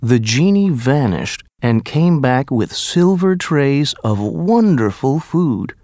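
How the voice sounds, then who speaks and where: A man narrating a scripted story for language learners in a careful, deliberate way. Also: medium pitch, sing-song, darkish tone, dry recording, clean studio sound.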